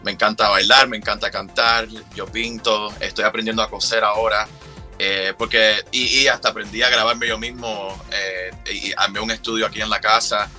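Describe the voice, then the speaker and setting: A man in his thirties from Cuba with a Cuban accent, chatting as an interview guest, relaxed and quick to laugh. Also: lowish voice, faint music behind. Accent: Cuban Spanish. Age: 30s. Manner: relaxed, chatty, laughs often, many fillers. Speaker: guest, drag performer and singer. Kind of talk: casual interview chat.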